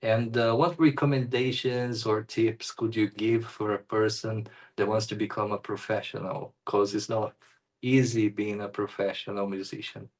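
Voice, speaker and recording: A man hosting an interview, asking friendly questions and reacting briefly, with somewhat halting phrasing. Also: fairly low pitch, flat delivery, darkish tone. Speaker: interviewer, host.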